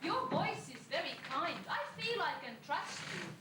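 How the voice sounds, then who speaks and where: A woman playing a gentle, trusting young heroine in an amateur rhyming pantomime, earnest and polite. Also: extremely high pitch, sing-song, normal speed, far from the mic. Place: school hall stage, far camera. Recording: echoey, noisy amateur stage recording from afar.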